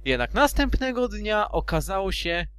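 A man's voice: sits high, big pitch swings, normal speed, a bit bright, clear, resonant tone. A man narrating a storybook in an amateur fan dub, theatrically and with loose asides.

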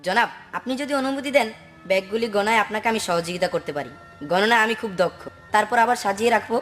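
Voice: medium pitch, fast, fairly bright tone, faint music behind, lightly acted. An actor playing a polite, eager poor boy with big ambitions in a dubbed cartoon.

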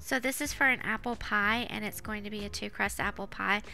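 A woman's voice: mid-range voice, steady tone, fairly quick, creaky, bright, forceful tone. A woman giving a casual, chatty cooking voice-over as a home cook. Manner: chatty, informal. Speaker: home cook, vlog host. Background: background music.

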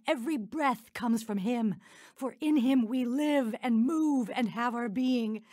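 A dry studio recording of a pious, insistent neighbor lady. Her fairly high voice preaches and recites scripture fervently, as in a radio drama. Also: measured pace, a little mellow, no room sound. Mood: fervent exhorting. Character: pious, urgent neighbor woman pressing for repentance.

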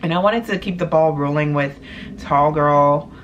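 A young woman with a deep voice chatting casually about her clothing haul in her bedroom, playful and laid-back. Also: moderate pace, muffled recording, slight background noise. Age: young adult. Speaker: vlogger. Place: small bedroom, indoors. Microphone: camera at desk distance.

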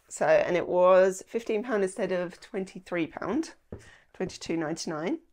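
A chatty woman in her thirties, talking to camera at home about things she bought. Her voice sits a little low and sounds slightly nasal from a starting cold. She rambles easily, full of "like" and "um". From England. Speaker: lifestyle vlogger. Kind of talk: casual haul chat to camera. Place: small indoor room at home.

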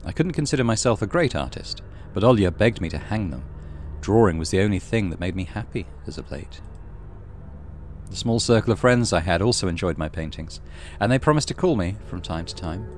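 A man reading a horror visual novel aloud as dramatic, measured narration. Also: low-pitched, sing-song, a little mellow, slight background noise. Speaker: gaming channel host reading visual novel. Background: music.

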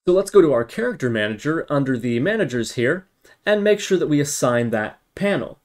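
A man's voice: fairly high pitch, big pitch swings, fairly quick. A man giving an informal tutorial, thinking aloud step by step as he works on screen. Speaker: tutorial instructor.

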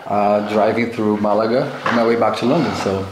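A man in his thirties from Brazil with a Brazilian accent, a guest giving short, relaxed replies in an indoor room. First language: Portuguese. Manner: short, relaxed replies, speaking low and slow. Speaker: guest, friend of the host. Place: bright indoor room of an apartment. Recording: roomy room echo with loud background noise.

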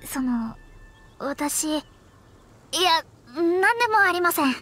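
High-pitched, theatrical young astrologer girl. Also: animated, slow pace, fairly bright tone, full character voice. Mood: resolved, hesitant. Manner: formal polite speech, theatrical. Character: proud, dramatic young female astrologer, easily flustered. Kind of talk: Japanese anime game acting. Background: background music.

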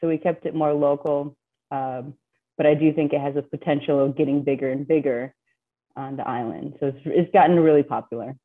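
A woman's voice: sits low, fairly even intonation, brisk. Woman in her thirties, interview guest, casual and chatty, webcam in a home office, thin phone-like sound. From Philippines, raised in the US. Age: 30s. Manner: chatty, laughs often, many fillers and restarts. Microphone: webcam on a video call. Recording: tinny, phone-like video call audio.

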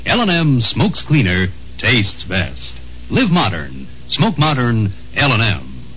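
Animated American radio announcer, 1950s style. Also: male voice, medium pitch, slow, slight background noise. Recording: muffled old radio broadcast, faint music.

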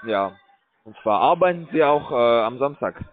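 A man cold-calling as a scam call center agent, pushing a sales pitch in broken grammar with hesitant fillers, heard over a tinny phone line. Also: animated, moderate pace, no echo.

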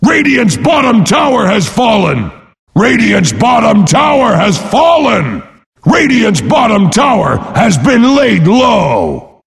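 A boastful brute warrior announces a video game in a high, gravelly bellow. He is pompous and brash, swinging wildly in pitch, with a long reverb tail on his voice. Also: a bit bright, exaggerated voice. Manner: pompous, speaks of himself in third person.

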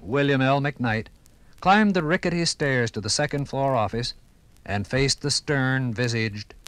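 A man reading scripted 1950s radio documentary narration in a measured, formal storytelling manner. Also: medium pitch, fairly bright tone, dry recording.

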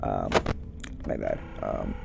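A man's voice: extremely low pitch, level tone, slow pace, gravelly, very noisy. A young man giving casual gameplay commentary, rambling and muttering as he talks to the game's characters. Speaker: gaming streamer. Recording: loud game music behind voice.

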